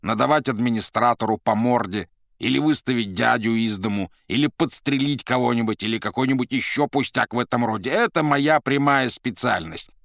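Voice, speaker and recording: An irritable demonic emissary from a storybook acted out by a man, gruff and bossy. He gives orders with sardonic exasperation and snaps when crossed. The sound is close and dry. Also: sits low, fairly even intonation, moderate pace, dry recording. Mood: exasperated. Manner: commanding, exasperated, hissing and yelling when crossed. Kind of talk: dramatised audiobook character voice.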